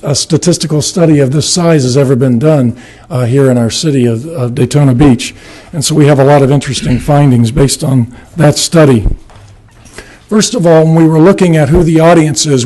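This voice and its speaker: A man with a raspy voice giving an earnest presentation to a city board, with a few fillers and restarts. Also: a little high, warm tone. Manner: earnest, slightly halting with fillers. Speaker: marketing firm presenter. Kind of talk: public presentation at a city meeting. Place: city commission meeting room.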